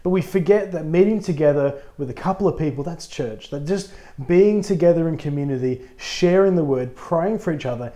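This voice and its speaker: A man who is a pastor, co-hosting a casual podcast, a little husky from a cold, upbeat and chatty. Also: fairly high pitch, a little fast, warm tone. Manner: upbeat, chatty, laughs easily.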